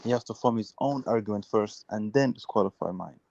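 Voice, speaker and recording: A man's voice: fairly low pitch, a little fast, vocal fry, darkish tone. Insistent man ranting over a dull line. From Uganda. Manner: repeats words and restarts, insistent. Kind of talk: heated casual football debate.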